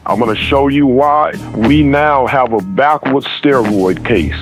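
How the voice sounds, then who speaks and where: A man's voice: medium pitch, measured pace, soft background music, low-quality recording. A man giving opinionated boxing commentary, emphatic and repeating his points.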